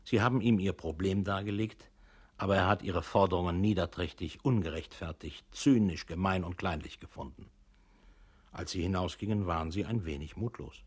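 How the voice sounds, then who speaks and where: Radio drama narrator: man, bass register, husky, sober, formal second-person storytelling. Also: normal speed. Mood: sober recounting. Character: sober male narrator addressing a weary employee.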